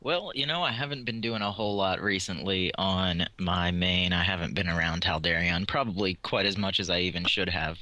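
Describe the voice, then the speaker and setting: Crisp bright guy, lowish voice, chatting on a podcast. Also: no echo, poor audio. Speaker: podcast panelist. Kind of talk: casual chat.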